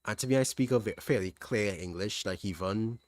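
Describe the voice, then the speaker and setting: A young man with a mild Trinidadian accent answering chat questions on a livestream, relaxed, thinking aloud with restarts. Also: lowish voice, a little fast, dry recording. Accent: Trinidadian, fairly standard. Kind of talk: casual livestream Q&A.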